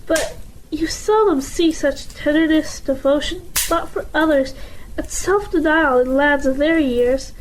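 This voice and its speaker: A woman playing a devoted, gentle, pious mother with tender, earnest delivery in a period audio drama. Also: high-pitched, a little slow, a little hiss or hum, rough recording. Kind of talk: family audio drama acting.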